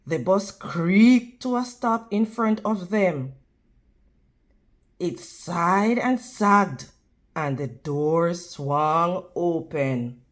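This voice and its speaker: A woman reading a children's storybook aloud like a teacher, deliberate and instructional toward young listeners. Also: fairly low pitch, expressive. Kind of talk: children's storybook read-aloud with prompting questions.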